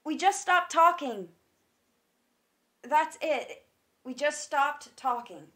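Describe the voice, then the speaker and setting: High-voiced woman, guarded n evasive, hiding hurt, stage drama reading. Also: expressive, takes it slowly, fairly bright tone, exaggerated voice. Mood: urgent.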